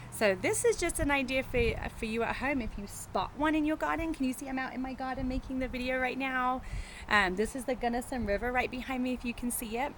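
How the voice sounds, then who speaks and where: A woman teacher doing an animated story time for children with chatty asides and encouraging questions to her young listeners, recorded outdoors in a garden. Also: sits high. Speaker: teacher reading a picture book to children. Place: outdoors in a riverside garden, birds and faint traffic. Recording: faint outdoor birdsong and traffic noise.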